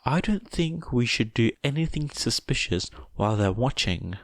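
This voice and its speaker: A man takes on a deadpan teenage girl for a story. The voice is pitched up from a low register, blunt and cautious, in a dry recording. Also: normal speed, no echo, lightly acted. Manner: blunt, flat.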